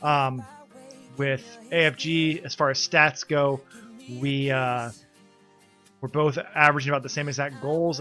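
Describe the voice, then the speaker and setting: A man with a stuffed-up voice, like he's catching a cold, talks through a casual recap in a loose, halting way, full of ums. His tone is bright and forceful at a middling pitch. Soft music plays quietly behind him. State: congested, coming down with a cold. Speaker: league organizer and team player.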